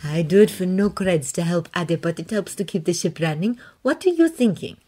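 A woman's voice: lowish voice, expressive, moderate pace, mellow. A woman voicing a shrewd Mandalorian woman mercenary with a dry, knowing manner. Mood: matter-of-fact. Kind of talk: fan fiction audiobook reading.

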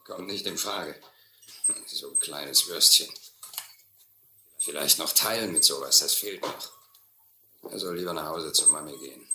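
Very deep, scornful gang man. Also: unhurried, pauses often, pushed and bright, echoey room, a little hiss or hum, heavily acted. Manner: dismissive, grumbling. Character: scornful gruff member of a thieving boat gang. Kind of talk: German radio play acting.

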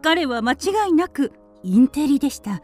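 A woman's voice: high voice, lively intonation, normal speed, darkish tone, faint music behind. A woman playing a devoted female canary in an audio drama, recounting her life politely and with wistful feeling.

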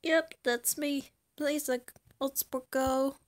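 A woman's voice: high voice, fairly even intonation, slow. A woman voicing a cocky, crude, teasing man with a drawl in an amateur comic dub. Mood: awkward, bemused. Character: cocky teasing adult man, crude, calls friend old sport.

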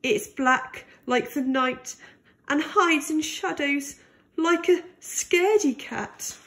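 A woman reading a picture book aloud to young children in a gentle, deliberate and expressive way. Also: sits high.

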